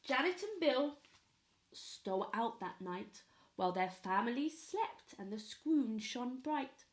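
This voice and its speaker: Slow, higher-voiced woman reading to children. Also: animated. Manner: pauses to ask young listeners questions. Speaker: storyteller at a primary school. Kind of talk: expressive children's story reading.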